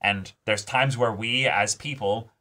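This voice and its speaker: A man's voice: fairly low pitch, unhurried, sharp tone. A man co-hosting a reaction show, talking through an episode in an informal, rambling way. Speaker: co-host of an anime reaction show. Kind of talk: casual discussion of an anime episode.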